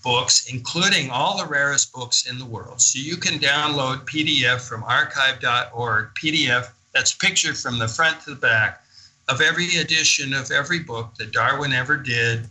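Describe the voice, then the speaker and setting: Forceful, bright-voiced older man, enthusiastic talker. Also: mid-range voice, poor audio. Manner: enthusiastic, emphatic. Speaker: interview guest. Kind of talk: animated conversational storytelling in a radio interview.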